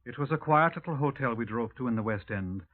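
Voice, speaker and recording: A man's voice: medium pitch, lively intonation, quick pace, soft background music, close to an everyday voice. An actor playing a dry, observant policeman who narrates a 1950s radio drama. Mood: dry, measured. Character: dry, observant police officer recounting a case. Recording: tinny narrow-band 1950s radio.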